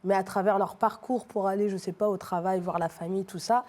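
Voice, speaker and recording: A woman's voice: medium pitch, fairly even intonation, fairly quick, a little mellow. A middle-aged woman from Martinique, a street artist talking casually in an interview, hesitant, with many fillers and restarts.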